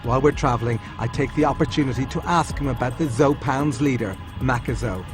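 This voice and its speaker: Man narrating a documentary over music. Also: mid-range voice. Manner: measured, first-person storytelling. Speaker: documentary presenter. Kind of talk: scripted narration. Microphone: studio voice-over. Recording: over loud score music.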